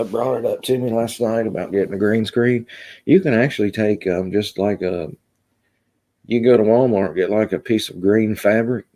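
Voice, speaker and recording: A man's voice: lowish voice, mellow. A man from Kentucky with a Kentucky accent hosts a rambling, casual live stream and reads out chat comments, with lots of ums. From Kentucky, USA. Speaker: livestream host.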